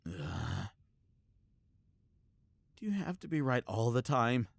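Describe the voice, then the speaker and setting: A man's voice: medium pitch, big pitch swings, slow, vocal fry, dry recording, full character voice. A man playing a proud, loyal superhero in an audio roleplay, speaking with sardonic and earnest emphasis. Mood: urgent, anguished. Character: defiant male superhero, proud, sarcastic, fiercely loyal. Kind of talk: scripted audio roleplay acting.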